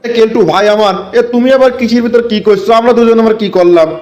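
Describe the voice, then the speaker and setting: A man's voice: sits high, fast, darkish tone, close to an everyday voice. A man voicing a gullible, hot-tempered villager in a comic cartoon, blustering in a rural Bangladeshi Bengali accent. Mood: puzzled. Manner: blustering, indignant.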